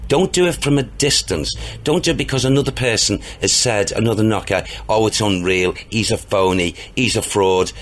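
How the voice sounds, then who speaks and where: A man with a booming, deep voice, talking earnestly as an interview guest about his work as a spiritual medium, rambling a little with restarts and hesitations. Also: moderate pace, few pauses. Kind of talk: casual interview talk.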